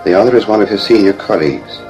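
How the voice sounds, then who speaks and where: A man reading scripted narration for a vintage radio program in a formal, measured manner. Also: fairly low pitch, a little mellow, rough recording. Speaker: author and narrator. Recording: vintage radio with music behind.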